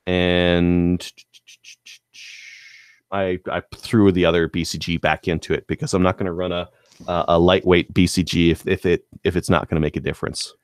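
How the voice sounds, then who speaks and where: A man's voice: sits low, little pitch movement, normal speed, clear, resonant tone, no echo, studio quality. A man talking casually as a podcast co-host, explaining things in stop-start phrases. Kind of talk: casual gun-hobby chat.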